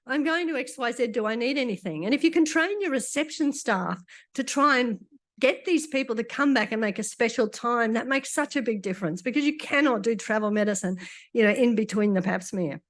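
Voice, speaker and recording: Woman doctor, conference talk, a bit croaky, higher-pitched, chatty with dry humour. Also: a little fast. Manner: conversational, with dry asides. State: croaky after illness. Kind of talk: conference lecture.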